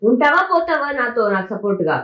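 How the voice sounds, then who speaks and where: A woman's voice: a little high, animated, fast talker, dull audio, a little hiss or hum. A woman in a heated argument, speaking insistently and repeating herself over and over. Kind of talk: heated argument in a leaked recording. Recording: echoey amateur recording of an argument.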